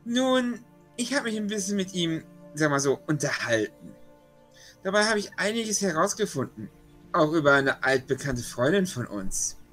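A man's voice: fairly high pitch, very animated, normal speed, fairly bright tone, soft background music. A man taking part in a tabletop role-playing game, switching between in-character speech and casual chat.

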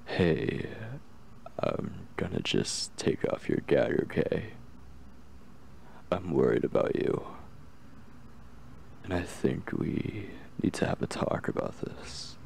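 A young man playing an obsessive yet tender, anxious kidnapper in an intimate ASMR roleplay, speaking softly and hesitantly in a gravelly, reassuring voice. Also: extremely low pitch, very animated, a little hiss or hum, heavily acted. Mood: puzzled, concerned. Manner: hesitant, halting, gently reassuring.

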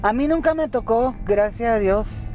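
A woman in her fifties from Colombia with a Colombian accent, telling candid stories at an easy, measured pace during a casual interview in a car. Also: fairly high pitch, a little mellow, soft background music. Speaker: singer. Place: inside a car. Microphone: car-mounted camera.

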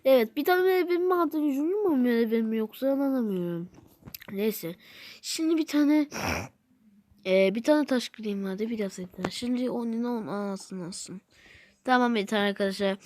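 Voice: mid-range voice, darkish tone. A video game YouTuber giving rambling, exclamatory let's play commentary, often repeating phrases and talking straight to viewers.

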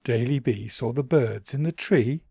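A man narrating a children's picture book in an expressive, dramatic way. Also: medium pitch, unhurried, slightly rough, no room sound, clean studio sound. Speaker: author reading own picture book.

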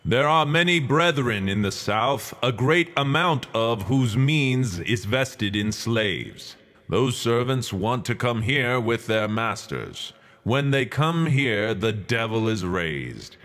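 A man performing a dramatic reading as a stern, resolute 19th-century religious leader, orating in a formal, measured, declamatory manner. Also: mid-range voice, animated, a bit bright. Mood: stern, insistent. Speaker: reader.

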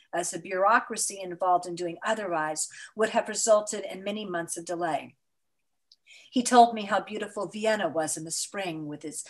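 A middle-aged woman, an actor, reading a literary memoir aloud in a measured, expressive storytelling voice over a video call. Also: mid-range voice. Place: indoors in front of a mottled photo backdrop. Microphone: video call webcam.

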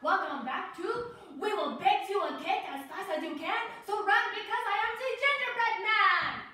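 A man voicing an excitable, mischievous French chef puppet in a silly, exclaiming way for a children's show. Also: very high voice, normal speed, voice pushed up, exaggerated voice. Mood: showy, cheerful. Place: home kitchen. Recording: echoey room, distant microphone.

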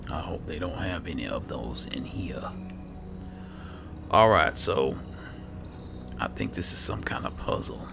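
A man murmuring low-key game commentary, thinking aloud and reading bits as he plays. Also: lively intonation, measured pace, gravelly, long pauses, background noise. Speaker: gamer host playing and roleplaying a fantasy game. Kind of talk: casual game commentary with read-aloud notes.

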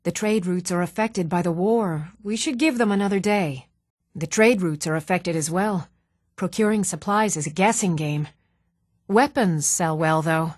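An actress playing an earnest, dutiful woman of a fantasy realm in a video game, speaking in a measured, composed and formal way. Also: lowish voice, a little mellow, dry recording, clean studio sound, lightly acted.

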